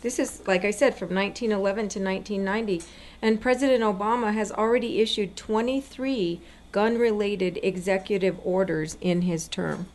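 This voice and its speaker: A woman's voice: medium pitch, normal speed, a little mellow. A woman co-hosting a political talk show, speaking conversationally and earnestly, repeating points for emphasis. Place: inside a gun store.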